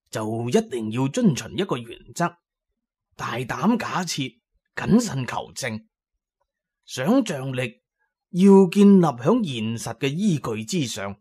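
A man's voice: medium pitch, a little mellow. Guy doing old cantonese archaeology professor, kind n patient, lecturing, audiobook style. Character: kindly, learned elderly male archaeology professor.

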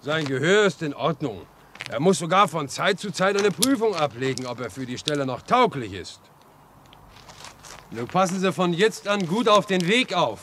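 An actor in a German radio drama playing a folksy, helpful railway line inspector who likes to chat and caution. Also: male voice, a little high, big pitch swings, normal speed, fairly bright tone. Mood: matter-of-fact, cautioning. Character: chatty, obliging railway line inspector guiding a stranger. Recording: noisy old radio play recording.

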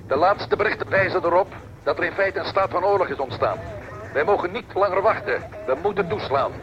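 An actor in a radio drama playing a terse, formal wartime military commander on a telephone line. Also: male voice, sits high, a little fast, natural voice. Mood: grave, urgent. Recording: muffled, noisy military phone line.

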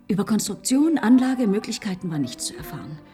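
A woman's voice: medium pitch, sing-song, brisk, warm tone, soft background music, natural voice. An actress playing a well-informed, composed woman investigator in a radio play. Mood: briskly factual. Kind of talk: German radio play acting.

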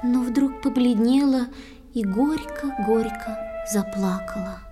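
A woman narrating a tale in verse with expressive, dramatic, theatrical delivery. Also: a little high, slow. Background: music with flute.

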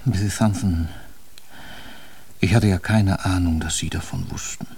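Husky, nervous male employee. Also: low-pitched, steady tone, unhurried, darkish tone, far from the mic, heavily acted. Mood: anxious, apologetic. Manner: hesitant, stammering, deferential. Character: nervous, guilty watchmaker employee, apologetic. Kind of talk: German radio play acting.

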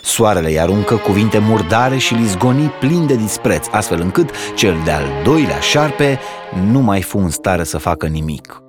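A man reading a folk tale aloud as a storyteller, in an expressive, dramatic storybook style. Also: fairly low pitch, hardly any pauses, over music.

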